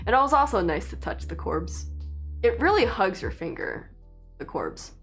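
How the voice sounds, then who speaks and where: A young woman from China, a native Mandarin speaker, chatting casually with viewers on a livestream in a relaxed, rambling way full of fillers. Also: medium pitch, normal speed, slightly rough, soft background music. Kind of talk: casual live-stream chat with viewers.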